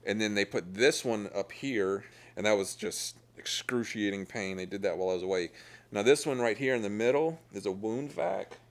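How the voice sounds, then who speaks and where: A man speaking candidly and plainly to camera about himself, in a halting, conversational way. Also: lowish voice. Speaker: documentary subject, surgery patient. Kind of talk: candid personal talk to camera. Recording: loud music behind the voice.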